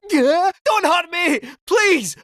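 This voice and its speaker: A man's voice: expressive, extremely slow, fairly bright tone, dry recording, studio quality, heavily acted. A man voicing a chatty, scheming male robot cat in a game, with a Scottish accent and a jaunty, theatrical delivery. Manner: jaunty, theatrical, swooping pitch. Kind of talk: video game voice acting.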